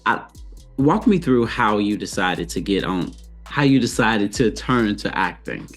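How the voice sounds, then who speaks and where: Male podcast host, low voice, curious interviewer. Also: big pitch swings, measured pace, soft background music. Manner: engaged, asks open questions. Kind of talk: interview questions.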